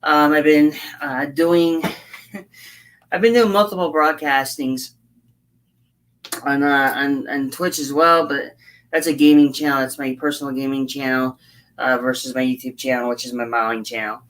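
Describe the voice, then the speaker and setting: A hoarse woman chatting casually on a livestream as she builds a scale model, rambling and halting with frequent fillers. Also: extremely low pitch, steady tone. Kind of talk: casual livestream chat while working.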